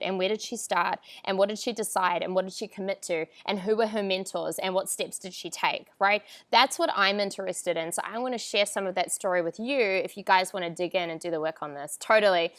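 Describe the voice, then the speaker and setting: A woman's voice: mid-range voice, brisk, few pauses, dry recording. A woman in her thirties with a New Zealand accent, giving a chatty, upbeat live-streamed motivational talk through an earphone mic. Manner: upbeat, chatty, often laughs and asks viewers for a yes. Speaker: online coach hosting a live training. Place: outdoor terrace with palm trees. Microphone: wired earphone mic on a video call.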